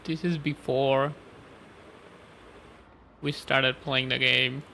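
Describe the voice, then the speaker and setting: A woman with a creaky voice giving casual live reaction commentary as she plays a video game. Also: extremely low pitch, steady tone, drawn-out words, pushed and bright. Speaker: gaming streamer. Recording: faint background noise and game audio.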